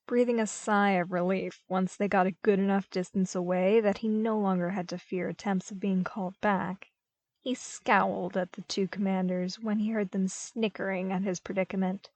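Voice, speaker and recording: A woman's voice: mid-range voice, steady tone, moderate pace, darkish tone, no echo. Woman narrating a story, very dry sound. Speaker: podfic narrator.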